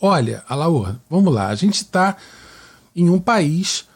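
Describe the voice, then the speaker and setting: A man's voice: fairly high pitch, sing-song, takes it slowly, breathy edge. A man from Rio de Janeiro with a Carioca Brazilian accent, hosting and answering questions in a conversational, didactic way with frequent checking tags. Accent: Carioca Brazilian Portuguese. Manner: didactic, with frequent tag questions seeking agreement. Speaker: podcast host and mathematics teacher.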